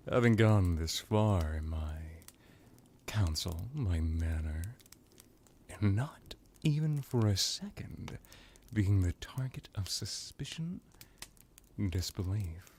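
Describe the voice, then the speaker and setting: Guy doing old immortal vampire crime boss for asmr, real slow drawl, deep, condescending n theatrical, bit of hiss and clicking behind it. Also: a little mellow, full character voice. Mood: smug, menacing. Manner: sarcastic, condescending, drawling with dramatic pauses. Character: ancient smug male vampire crime lord, cunning and theatrical. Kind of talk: ASMR roleplay.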